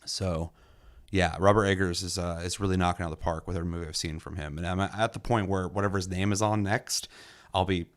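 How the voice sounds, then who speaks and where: A young man casually reviewing a movie in a relaxed, enthusiastic way, with lots of fillers and the odd curse. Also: low-pitched, fast. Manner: loose and enthusiastic, many fillers, occasional swearing. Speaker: movie reviewer, podcast host. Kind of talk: casual film review chat.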